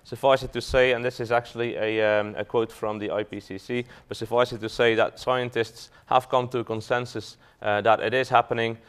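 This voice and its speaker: A man's voice: mid-range voice, level tone, fairly quick, few pauses, clean tone. A man from Belgium, a fund manager giving a business talk to an audience, hesitant with frequent fillers and restarts.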